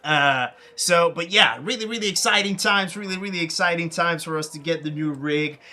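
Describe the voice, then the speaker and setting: A young man streaming a sim racing session, chatting casually with viewers and reading out chat, his throat rough and scratchy. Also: fairly high pitch, normal speed, sharp tone. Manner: chatty, reads and answers chat aloud.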